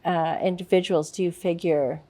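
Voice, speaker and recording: A woman hosting a talk show, asking halting, hesitant interview questions. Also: fairly low pitch, steady tone, dark tone.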